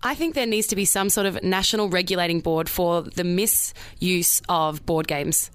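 Clear-voiced woman, mock-serious comic podcaster. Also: lowish voice, normal speed, no room sound. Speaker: podcast co-host. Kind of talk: playful comic banter.

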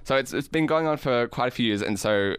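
A man with a clear, smooth voice and a medium pitch chats as a podcast co-host. His delivery is casual and jokey, rambling through a story. The recording is dry and close. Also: a little fast, dry recording. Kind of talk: casual comedic chat.

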